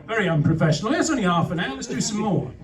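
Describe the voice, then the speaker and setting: A man's voice: sits high, animated, rapid-fire. A man with a Welsh accent telling comic stories on stage, breaking off for wry asides, recorded from a distance. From Wales. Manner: self-interrupting, wry asides. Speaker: stand-up comedian. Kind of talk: live comic storytelling. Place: live venue before an audience. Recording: distant, noisy live room recording.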